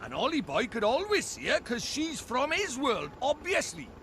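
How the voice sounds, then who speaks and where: A small Welsh fairy sidekick in an animated game. The voice is very high and sharp, with a huffy, boastful, chatty manner and lively swoops, over faint noise. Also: male voice, normal speed, full character voice. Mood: smug explaining. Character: chatty, exasperated little fairy sidekick who thinks himself wise. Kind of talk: animated video game voice acting.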